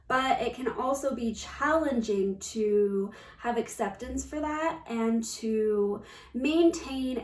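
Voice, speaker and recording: Slow-spoken woman in an echoey room. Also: on the high side. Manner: measured, reflective, with pauses. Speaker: spiritual coach. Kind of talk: unscripted spiritual talk to viewers. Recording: roomy echo, distant microphone.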